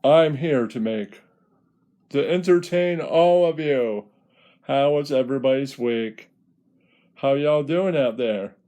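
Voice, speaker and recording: Man voicing a slow, sassy, flamboyant puppet. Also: medium pitch, mellow, natural voice. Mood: sassy, preening. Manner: preening, teasing, theatrical. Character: flamboyant, sassy, foul-mouthed male puppet entertainer. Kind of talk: puppet show comedy monologue.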